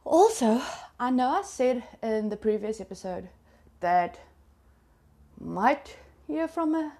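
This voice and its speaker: A woman's voice: a little high, lively intonation, takes it slowly, warm tone. A South African woman whose first language is Afrikaans, telling a true crime story in a chatty, confiding way to her listeners. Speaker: podcast host.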